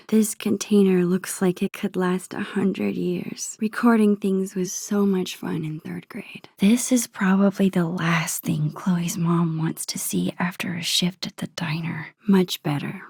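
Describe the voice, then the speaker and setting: A gentle, worrying teenage girl in a video game monologue. Her voice is soft, husky and breathy, recorded very dry. She murmurs her thoughts to herself in a sweet, introspective, nostalgic way. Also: fairly low pitch, no echo, exaggerated voice.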